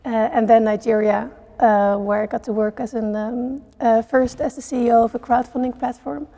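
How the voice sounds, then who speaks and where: A woman's voice: a little high, steady tone, moderate pace, gravelly, mellow. A middle-aged woman from the Netherlands, answering interview questions earnestly with many fillers. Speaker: CEO of a network for social entrepreneurs.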